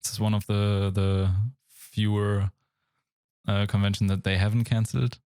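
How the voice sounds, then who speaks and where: A man's voice: on the low side, little pitch movement, normal speed, darkish tone, clean tone, dry recording, studio quality. A young German man with a German accent, co-hosting a casual games podcast in a thoughtful, searching way. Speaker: podcast co-host. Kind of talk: casual chat.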